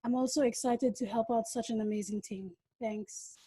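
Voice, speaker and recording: Young woman, high mellow voice, dry sound. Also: steady tone, moderate pace, breathy edge, no echo. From Papua New Guinea. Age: young adult. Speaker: law student, brand ambassador. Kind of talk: self-introduction in a webinar.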